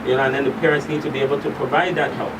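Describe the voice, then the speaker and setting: A man speaking as an expert guest in a radio interview, explaining in a halting, deliberate way and repeating phrases for emphasis. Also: mid-range voice, steady tone. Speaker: expert guest, school counselor. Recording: distant mic, clear hum and background noise.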